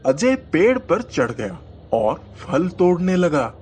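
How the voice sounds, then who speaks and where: A man's voice: on the high side, very animated, takes it slowly, darkish tone, a little hiss or hum. A man narrating a scripted children's story as storyteller. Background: music.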